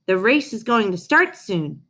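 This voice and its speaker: A woman voicing a brisk, kindly female dog handler in a storybook, urging and calling out. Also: medium pitch, sing-song, pushed and bright, heavily acted. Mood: cheerful urging.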